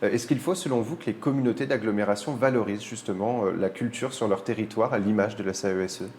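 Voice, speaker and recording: A man's voice: fairly low pitch, quick pace, a little mellow, a little hiss or hum. A man with an accent from France, putting prepared questions to a guest as a radio interviewer. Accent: French from France.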